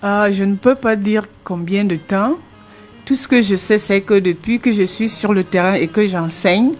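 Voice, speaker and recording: A woman in her sixties with a Cameroonian French accent, a retired language teacher answering interview questions earnestly, often restarting her phrases. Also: mid-range voice. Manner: earnest, explanatory, with frequent restarts.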